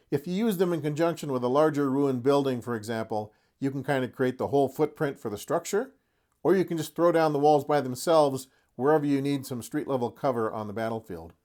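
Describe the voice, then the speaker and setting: A man with a mid-pitched voice hosts a casual product showcase. He talks in a chatty, folksy way, like someone sharing a hobby, and slips in lightly humorous asides as he describes things. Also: darkish tone. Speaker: host of a tabletop wargaming terrain review.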